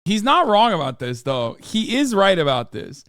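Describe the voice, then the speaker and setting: A man's voice: high-pitched, big pitch swings, moderate pace. A man streaming a game and reacting to a video in blunt, profane, rambling casual commentary. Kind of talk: casual commentary while gaming.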